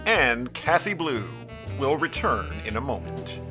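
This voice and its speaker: A man serving as announcer for a radio play. His mid-pitched voice swings up and down in a lively, dramatic presenting style, with clear music underneath. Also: unhurried.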